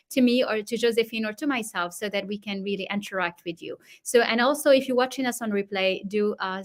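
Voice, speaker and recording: Effusive woman hosting a live interview. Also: medium pitch. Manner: effusive, welcoming, with frequent restarts. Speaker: host.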